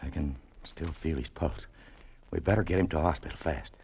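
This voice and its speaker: An actor playing a steady, practical man who calls out loudly and calms others, in 1940s radio acting. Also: very deep voice, brisk, creaky, slight background noise. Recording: muffled old 1940s radio recording.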